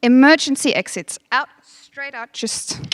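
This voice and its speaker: Woman, thirties, German accent, event host, fairly high pitch, casual and chatty, dry sound. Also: unhurried, fairly bright tone, no room sound. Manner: chatty, self-deprecating, many ums. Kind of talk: welcome speech at a workshop. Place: event room.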